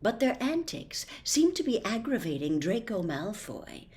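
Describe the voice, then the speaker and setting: A woman reading a storybook aloud as narrator, in an expressive storytelling style. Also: medium pitch, a little slow. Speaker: audiobook reader.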